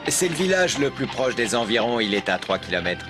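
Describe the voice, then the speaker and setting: An actor as a courteous, curious local man in a cartoon. His medium-pitched voice moves around in a lively way, and music plays behind him. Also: a little fast, natural voice. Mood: curious, polite. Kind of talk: French cartoon dub acting.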